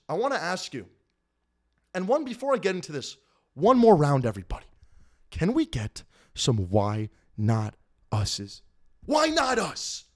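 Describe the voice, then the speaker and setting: A young man in his twenties hosting a live-stream postgame recap from a small room at home, hyped and repetitive, often calling out to everybody in the chat. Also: high voice. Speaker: sports fan streamer host. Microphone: desk mic in front of the face.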